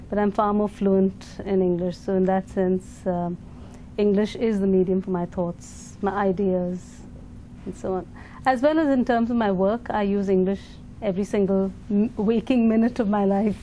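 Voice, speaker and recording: Thoughtful Indian woman, mellow voice. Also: medium pitch, fairly even intonation, moderate pace, a little hiss or hum. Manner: thoughtful, hesitant, many fillers and restarts. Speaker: education foundation leader, interview guest. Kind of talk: conference interview answers.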